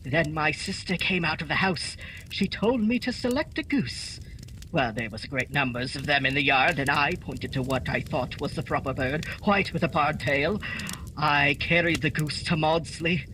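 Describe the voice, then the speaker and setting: Guy doing a frantic pleading crook in a radio play, sharp voice, bit high. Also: normal speed, a little hiss or hum, low-quality recording. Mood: anxious confession. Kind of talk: holiday radio play acting.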